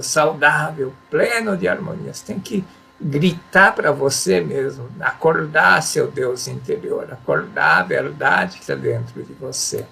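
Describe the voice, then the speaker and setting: Man, spiritual teacher, heavy gravelly voice, lively swinging pitch, reads and explains passages. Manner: repeats affirmations emphatically. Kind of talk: religious teaching talk.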